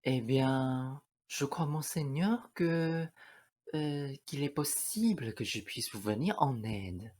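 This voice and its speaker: A domineering, vain mother from a fairy-tale radio play, acted by a woman. Her voice is low and husky, and her delivery is theatrical and calculating. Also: expressive, takes it slowly, darkish tone, full character voice. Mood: fawning, sly. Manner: scolding and cursing at her daughters, obsequious to superiors. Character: domineering, vain, scheming mother, fawning before royalty.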